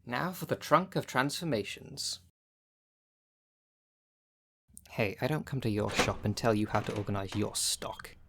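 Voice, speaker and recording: Casting: performer as sardonic female witch running a potion shop, mid-low androgynous pitch, matter-of-fact and bossy, ASMR roleplay delivery. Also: expressive, normal speed, background noise, natural voice. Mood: wry, defensive. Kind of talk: ASMR roleplay, speaking directly to the listener.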